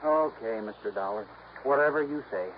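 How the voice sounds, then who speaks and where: An American actor playing a glib, defensive, high-spirited young twin in a 1950s radio drama. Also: male voice, normal speed, lightly acted. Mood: cocky, protesting. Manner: glib, protesting, insistent. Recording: dull old radio recording, faint noise.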